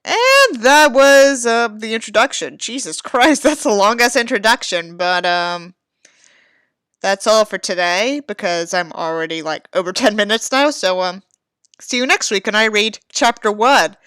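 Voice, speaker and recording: A woman's voice: medium pitch, animated, measured pace, fairly bright tone, clean tone, no room sound, studio quality. A woman hosting with casual, sarcastic commentary on a book she is reading. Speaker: video host.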